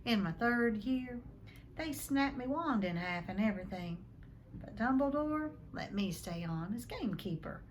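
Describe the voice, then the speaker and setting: A woman voicing a gruff, big-hearted giant gamekeeper with rough, colloquial speech in a storybook read-aloud. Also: on the high side, expressive, a little slow, slight background noise. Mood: sheepish confiding.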